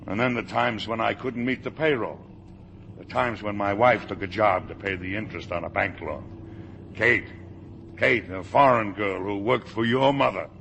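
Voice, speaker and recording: A man's voice: fairly low pitch, moderate pace, creaky. A man playing a hard-driving, self-made older factory owner in a 1950 radio drama, bitter and emphatic. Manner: forceful, bitter, emphatic repetition. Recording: noisy vintage radio broadcast.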